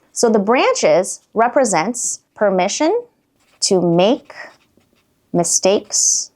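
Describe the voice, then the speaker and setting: A woman hosting a business show, speaking upbeat and self-deprecating, often laughing as she tells stories and teaching through metaphors. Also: on the high side. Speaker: beauty business owner and show host. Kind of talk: business talk-show monologue.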